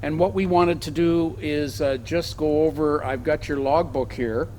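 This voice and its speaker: Male interviewer, slightly high, noisy recording. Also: steady tone, a little slow, a little mellow. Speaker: interviewer, host. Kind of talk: informal interview. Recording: clearly noisy room recording.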